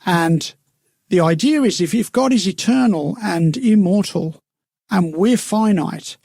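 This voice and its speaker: A man co-hosting a faith radio show, thinking aloud with frequent "you know". His voice is gravelly and a little husky. The studio sound is close and dry. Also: high-pitched, unhurried, a little mellow, dry recording. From United Kingdom. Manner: reflective, musing, with many "uh" and "you know". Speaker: radio co-host.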